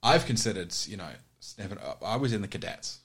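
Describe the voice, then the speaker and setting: A man's voice: on the low side, lively intonation, brisk, fairly bright tone, slightly rough. A young man chatting casually as a podcast co-host, telling stories in a wry, sweary way. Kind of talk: casual chat.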